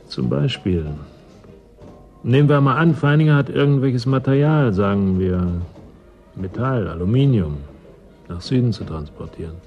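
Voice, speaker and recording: A man playing a cynical, calculating schemer in a German radio drama, speaking in a sardonic, deliberate, insinuating way. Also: mid-range voice, dull audio, faint music behind, lightly acted. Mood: sly bargaining. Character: calculating, cynical male schemer in a frozen settlement.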